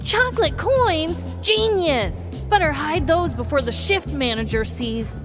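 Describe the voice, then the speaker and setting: A woman playing an excitable, sarcastic coworker in a comedic audio story with music behind. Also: high voice, a little slow. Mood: excited alarm.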